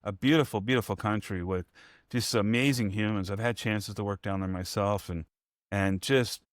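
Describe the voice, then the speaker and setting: A man's voice: on the low side, moderate pace, no room sound, clean studio sound. A man hosting an interview, speaking in a reflective, rambling, motivational way. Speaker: podcast host. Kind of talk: conversational interview.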